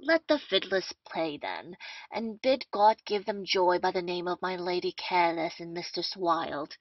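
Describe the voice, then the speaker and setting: A woman's voice: lowish voice, expressive, measured pace, dry recording. A woman playing a bustling, boastful, talkative male captain in an audio play of a period comedy. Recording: dull, lo-fi, dry audio.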